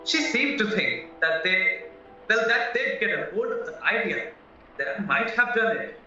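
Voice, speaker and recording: A man's voice: sits high, lively intonation, a little slow, bright, forceful tone, heavily acted. A man playing a naive, chatty, polite young husband under suspicion in an audio play, earnestly explaining himself. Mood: anxious, earnest. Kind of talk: stage-style audio play acting. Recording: very echoey, distant, muffled, music behind.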